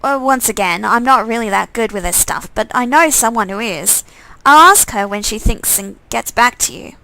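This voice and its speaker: A woman's voice: fairly high pitch, lively intonation, normal speed, gravelly, pushed and bright, no room sound, full character voice, poor audio. A woman voicing an easygoing young man in a visual novel, with a casual, offhand manner.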